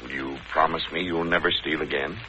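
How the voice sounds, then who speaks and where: An actor playing a kindly, grave older children's counselor, measured and gently admonishing, in a 1940s radio drama. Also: male voice, extremely low pitch, steady tone, exaggerated voice. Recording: dull, hissy old radio broadcast.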